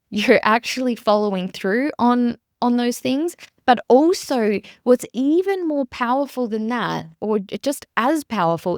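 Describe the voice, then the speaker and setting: A woman's voice: fairly high pitch, dry recording. An Australian woman in her thirties talks straight into a close mic, chatty and earnest. She restarts her sentences often and leans on fillers. The sound is dry, with no room around her voice. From rural South Australia. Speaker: podcast host and business coach. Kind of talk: casual solo podcast monologue.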